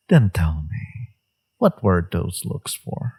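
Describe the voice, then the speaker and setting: A man playing a flirtatious, teasing villain in an intimate romance audio roleplay, murmuring coyly in a low, breathy voice. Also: exaggerated voice. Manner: teasing, coy, murmuring with soft chuckles. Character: flirtatious, teasing male villain, secretly smitten enemy.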